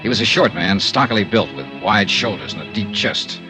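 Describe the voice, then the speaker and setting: An actor in a radio noir playing a tough, sardonic American insurance investigator, blunt and hard-boiled. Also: male voice, fairly low pitch, expressive, brisk, music in the background, natural voice. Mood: grim narrating. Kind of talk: 1950s radio noir acting and narration.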